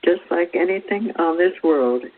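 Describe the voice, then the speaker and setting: A man presenting a spiritual teaching as a read-out talk, with measured, deliberate phrasing in short pieces. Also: on the high side, animated, slight background noise. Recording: thin phone-like radio sound.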